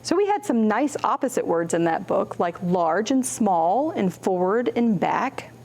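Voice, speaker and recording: A woman hosting a children's storytime, reading and explaining in simple, deliberate phrases for young children. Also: medium pitch, sing-song, darkish tone, a little hiss or hum.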